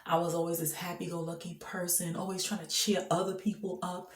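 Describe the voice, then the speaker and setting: A woman's voice: fairly low pitch, little pitch movement, brisk, roomy sound. A woman telling her faith testimony in an earnest, emphatic way, often laughing between thoughts. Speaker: person sharing a faith testimony. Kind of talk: heartfelt personal testimony, casual.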